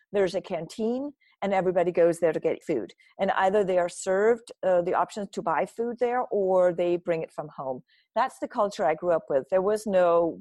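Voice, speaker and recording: A woman's voice: on the low side, darkish tone, dry recording. A woman from Denmark giving thoughtful, earnest answers as an interview guest, often checking in with "right?". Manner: earnest, reflective, frequent restarts and "right?" tags. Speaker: guest, nutrition author and speaker.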